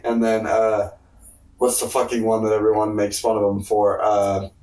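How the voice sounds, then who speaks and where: A man's voice: on the low side, completely flat delivery, a little mellow. Male podcast co-host, off-mic in an echoey room; loose, rambling, sweary, jokey delivery. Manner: halting, rambling, profane. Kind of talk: casual comedic chat. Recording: roomy, echoey, distant mic.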